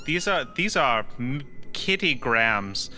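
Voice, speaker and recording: Guy doing a nervous nice negotiator in an rpg, bright voice, careful and coaxing. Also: mid-range voice, very animated, takes it slowly, faint music behind, full character voice. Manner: gentle, coaxing, hesitant. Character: polite, anxious negotiator coaxing a big creature.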